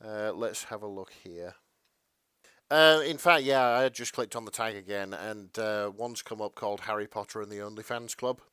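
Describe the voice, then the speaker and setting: A man co-hosting a podcast in casual chat, halting and stammering, mildly apologetic in manner. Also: on the low side, sharp tone, clear, resonant tone, dry recording, clean studio sound. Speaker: podcast co-host.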